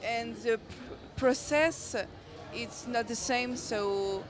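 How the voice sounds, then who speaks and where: A young woman hosting a student radio interview, asking brisk, halting questions. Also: fairly high pitch, a crowd in the background. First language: French. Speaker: radio host. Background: background music.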